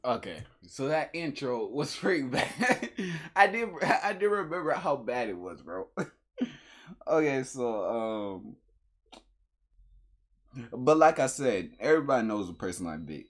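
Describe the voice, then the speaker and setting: Young man, husky, slangy and incredulous. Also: mid-range voice, sing-song, measured pace. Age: young adult. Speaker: reaction video host. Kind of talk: casual reaction commentary. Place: small room at home, indoors.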